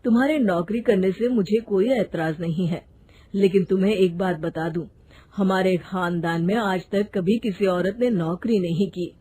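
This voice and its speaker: A woman's voice: medium pitch, normal speed, dark tone, close to an everyday voice. Woman playing a cautious husband, noisy recording. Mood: calm, cautious. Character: reasonable, cautious husband in a traditional family.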